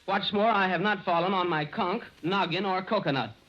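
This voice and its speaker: Pompous, high-voiced bookish fellow played by a man. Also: normal speed, close to an everyday voice. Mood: pleased, eager. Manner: pedantic, over-precise, flowery vocabulary. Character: pompous, bookish man clumsily trying out slang. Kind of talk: 1930s-40s radio comedy acting. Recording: noisy, muffled old radio recording.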